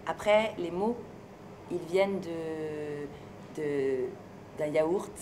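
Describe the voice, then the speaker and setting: A woman from France being interviewed, speaking in a relaxed, thoughtful and hesitant way and laughing easily. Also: low voice, drawn-out words. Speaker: interviewee, singer and stage performer. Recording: noisy location recording with ambient sound.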